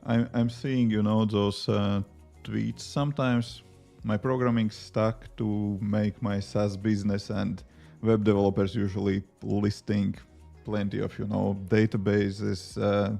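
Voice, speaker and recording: A man's voice: fairly low pitch, flat delivery, measured pace, vocal fry, hardly any pauses, warm tone, faint music behind. A man with a Latvian accent, a native Latvian speaker, chatting casually on a live coding stream, answering viewer questions between tasks with many fillers. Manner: rambling, answers chat questions while working, many fillers. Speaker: livestreaming app developer.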